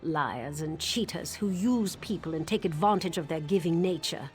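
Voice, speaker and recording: A woman's voice: low-pitched, lively intonation, normal speed. An AI-voiced woman playing a suspicious, bitter widow in game dialogue, with faint music behind. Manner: guarded, sharp-edged, questioning.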